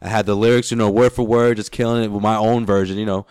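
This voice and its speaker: A young man with a hoarse voice, co-hosting a casual sports podcast and bragging in hype, slangy talk. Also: lowish voice, level tone, fast talker, a little mellow, no room sound, studio quality. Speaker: podcast co-host.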